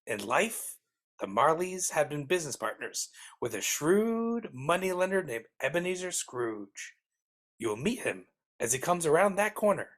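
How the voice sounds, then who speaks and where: Woman as a husky, low-voiced storyteller. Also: sing-song, a little slow, full character voice. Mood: genial, dramatic. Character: Charles Dickens as genial storytelling narrator. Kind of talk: live dramatic script reading. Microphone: video call. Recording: online video call track.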